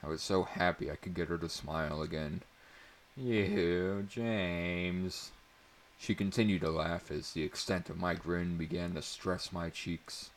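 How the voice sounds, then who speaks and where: A man's voice: low-pitched, level tone, normal speed, few pauses, a little hiss or hum. A man reading a story aloud as narrator in a dramatic audiobook style.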